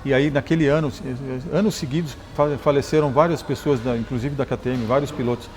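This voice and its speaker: A Brazilian man, a motorcycle engineer and rally champion, telling stories in a relaxed interview, often restarting words and adding "né?". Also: medium pitch, a little fast. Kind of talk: conversational interview storytelling.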